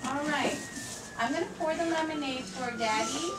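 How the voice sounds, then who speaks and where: Woman as a high-voiced, kindly mama bear. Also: moderate pace, close to an everyday voice. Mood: encouraging. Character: kind, encouraging mother bear puppet. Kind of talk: children's puppet show acting. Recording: echoey, noisy room from far off.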